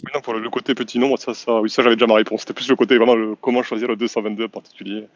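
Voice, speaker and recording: A man with a slight southern French accent chatting casually on a voice call, relaxed and quick to laugh. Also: medium pitch, no room sound, lo-fi sound. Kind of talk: casual voice chat.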